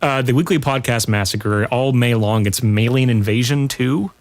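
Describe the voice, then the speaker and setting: A man's voice: medium pitch, normal speed. A man talking casually as a podcast guest, in an informal way with rambling asides. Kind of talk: casual pop culture chat.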